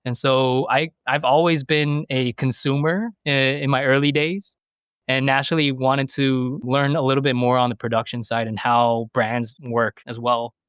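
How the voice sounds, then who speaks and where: A man in his mid-thirties from the United States, talking through his answers in a relaxed interview. His voice is smooth and resonant, and it sits at an ordinary middle pitch. The recording is dry and close. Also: normal speed, dry recording. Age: 30s. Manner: thoughtful, hesitant, many fillers and restarts. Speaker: podcast guest. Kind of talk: conversational interview.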